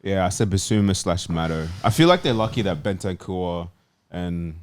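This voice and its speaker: A man's voice: sits low, animated, moderate pace. A man on a panel giving opinions in casual football banter.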